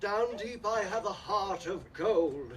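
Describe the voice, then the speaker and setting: A man's voice: sits high, animated, slow, a crowd in the background. A man acting a sly, pompous villain in hammy radio melodrama, savoring alliteration and taunting all who hear him. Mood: mock pleading. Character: sly gloating melodrama villain, male landlord of a tavern. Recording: distant stage microphone, noisy room.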